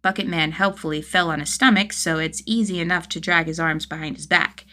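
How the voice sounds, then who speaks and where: A woman reading a story as narrator, in expressive scripted narration. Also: fairly low pitch, a little fast. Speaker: podfic narrator.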